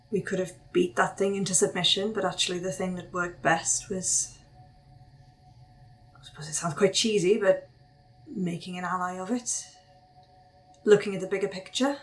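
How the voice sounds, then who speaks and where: A woman playing a cunning cursed woman who takes raven form, wry and measured as she bargains. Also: lowish voice, faint music behind, lightly acted, low-quality recording.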